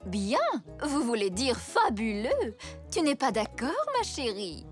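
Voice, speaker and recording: A haughty zebra mother in a children's cartoon, proud and gushing. Her fairly high voice moves up and down dramatically over background music. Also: sing-song, takes it slowly. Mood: gushing, indignant. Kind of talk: French children's cartoon dubbing. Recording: music behind.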